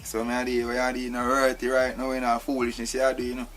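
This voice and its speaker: Guy doing a fast-talking jamaican patois captive, bright voice, keeps saying "you know". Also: mid-range voice, completely flat delivery, faint music behind, lightly acted. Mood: reasoning. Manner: repeats "you know", irritable. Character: tied-up man, streetwise, indignant.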